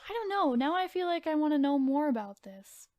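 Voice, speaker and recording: A woman with a fairly high voice co-hosts a podcast. She tells things in an informal, conversational way, sometimes reading from prepared notes. The sound is dry, with very little room echo. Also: big pitch swings, a little fast, dull audio. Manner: informal, filler-heavy, hedging retelling. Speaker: podcast co-host telling the story. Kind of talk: casual chat mixed with reading from notes.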